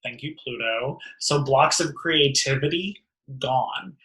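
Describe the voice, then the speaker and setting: A man's voice: medium pitch, slow pace, bright, forceful tone. A young American man hosting a casual podcast over a video call, talking in a campy, sassy, slangy way. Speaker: podcast host. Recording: roomy echo on a video call.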